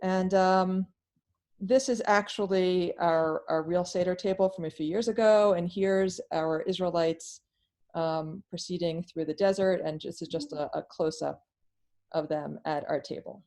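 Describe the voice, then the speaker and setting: Low-voiced woman school head presenting. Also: unhurried. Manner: plain, steady, explanatory, um fillers. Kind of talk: presentation on a video call. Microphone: video call.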